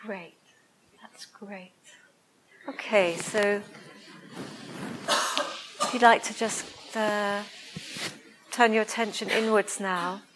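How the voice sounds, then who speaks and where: Soft-voiced woman teaching meditation. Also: mid-range voice, fairly even intonation, noisy background. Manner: slow, hesitant, searching for words. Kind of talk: reflective dharma talk and guided meditation. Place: room with a listening group.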